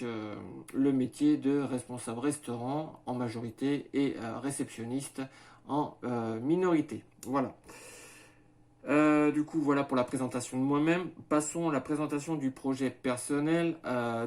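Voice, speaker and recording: A man in his thirties talks to camera about himself, pausing often on filler sounds and restarting his sentences. He lays things out methodically, point by point. His pitch sits in the middle range for a man. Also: a little mellow. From France, Maine-et-Loire. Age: 30s. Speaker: small farm owner presenting his project. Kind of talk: hesitant self-presentation to camera.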